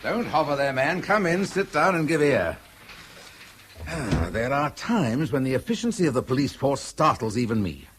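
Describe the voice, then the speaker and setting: An actor playing a gruff, domineering senior British detective in a radio drama, brusque and commanding as he reasons aloud. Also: medium pitch, sing-song, normal speed, a bit bright, a little hiss or hum. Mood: commanding, intrigued. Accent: British English.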